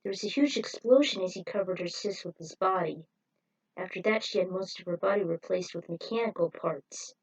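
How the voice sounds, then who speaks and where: A woman's voice: on the low side, brisk, slightly rough, low-quality recording. A woman narrating a scripted story, reading it aloud as a storyteller.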